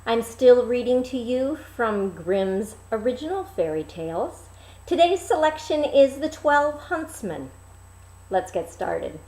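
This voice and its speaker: A woman reading a fairy tale aloud as a theatrical storyteller, pausing between phrases. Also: fairly high pitch, big pitch swings, warm tone, roomy sound, low-quality recording. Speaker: storyteller, reader.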